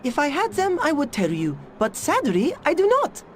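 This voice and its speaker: Game scientist: Japanese accent, highish lively voice, eccentric, dryly formal, clipped grammar. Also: female voice, moderate pace, a little hiss or hum. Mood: explaining. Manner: stilted formal phrasing with dropped articles. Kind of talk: video game cutscene voice acting.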